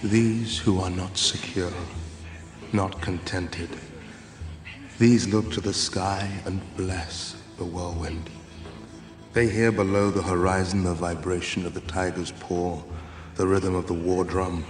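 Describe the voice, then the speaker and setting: An actor playing a charismatic wine god and cult leader in an audio drama, with a measured, incantatory, poetic delivery. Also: male voice, deep voice, steady tone. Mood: solemn, prophetic. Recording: music behind the voice.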